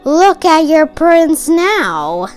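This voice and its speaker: A woman voicing a power-hungry, gloating male sorcerer in a storybook, with a commanding, drawn-out delivery. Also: high voice, big pitch swings, soft background music, heavily acted.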